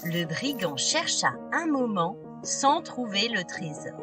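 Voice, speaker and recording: A woman narrating fairy tales for small children in an expressive, deliberate scripted style. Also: medium pitch. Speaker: children's storyteller. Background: music.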